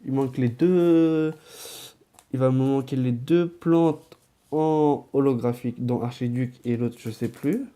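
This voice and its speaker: Man, head cold, sore throat, casual pack-opening chat, slow talker, mellow dark tone. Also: medium pitch. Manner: relaxed, drops to muttered asides while handling cards. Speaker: card-opening vlogger. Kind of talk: casual chat while opening card packs.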